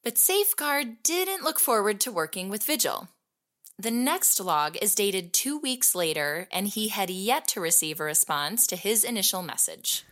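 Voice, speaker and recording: An actress playing an investigative journalist, narrating a fiction podcast in a deliberate, scripted documentary style. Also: female voice, medium pitch, expressive, fairly bright tone, natural voice. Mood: measured, intriguing.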